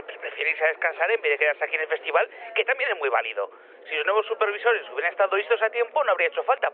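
Radio play part: eager, jittery, repetitive technician, Spain accent, high-pitched, phone-filtered. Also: male voice. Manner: repeats words, stammering eagerly. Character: eager, flustered, obliging male technician. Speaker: teleporter technician. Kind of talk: radio drama. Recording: tinny, narrowband phone line.

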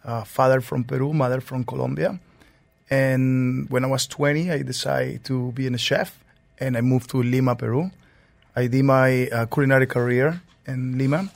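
A man from Venezuela, a chef guest in a radio studio, telling his story in a relaxed way in English. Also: mid-range voice, moderate pace, vocal fry, no echo. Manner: relaxed storytelling, non-native phrasing. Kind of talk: interview answers.

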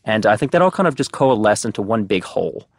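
A man from New Jersey with a New Jersey accent, a guest on a casual podcast conversation, talking in animated, energetic bursts. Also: on the low side, fast talker, no room sound. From New Jersey, USA. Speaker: guest, startup team member.

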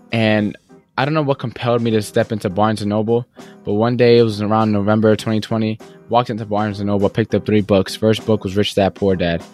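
A young man speaks in a fairly low register, earnest and fast-flowing. He leans on "you know" and "right?" as he tells his life story as an interview guest. A faint music bed plays underneath. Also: darkish tone. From United States. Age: young adult. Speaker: guest entrepreneur.

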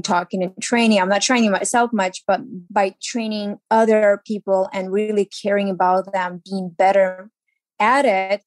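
Woman guest, lively and chatty. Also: mid-range voice, no room sound. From Ukraine. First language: Ukrainian. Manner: lively, chatty, laughs easily. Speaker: guest, actress. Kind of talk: casual interview talk.

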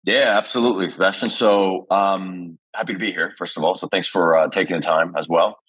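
A man in his forties who grew up in Chile, speaking in a very low voice as he walks through his career on a podcast. He talks easily and loosely, with many pauses, fillers and restarts. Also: husky, poor audio. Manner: relaxed, with frequent fillers and restarts. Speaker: podcast guest, wine executive. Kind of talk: casual interview chat.

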